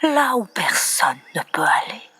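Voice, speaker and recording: A woman reciting poetry in a breathy, often near-whispered voice, with solemn, dramatic and deliberate phrasing. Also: medium pitch, big pitch swings, bright, forceful tone. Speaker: poet reciting own poems. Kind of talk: slow expressive poetry recitation.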